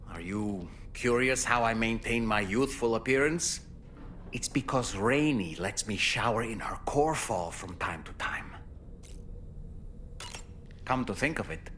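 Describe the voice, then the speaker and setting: Chatty doll with lively intonation. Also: male voice, medium pitch, a little hiss or hum. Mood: wry, musing. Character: chatty, musing living doll companion, male. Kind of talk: video game character monologue.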